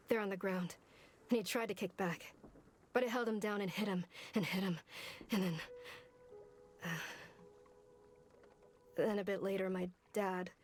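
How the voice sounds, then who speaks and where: Woman playing brooding young fighter, video game monologue, reflective, plainspoken, halting, medium pitch. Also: lightly acted. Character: brooding woman fighter recalling her troubled youth.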